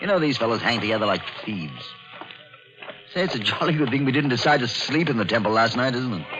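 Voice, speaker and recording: An actor playing an eager, talkative colonial man who hosts a visitor, in a 1940s radio drama, quick to jump to conclusions. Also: medium pitch, expressive, over music, natural voice. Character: chatty, excitable colonial man, a keen host. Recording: dull lo-fi 1940s radio recording.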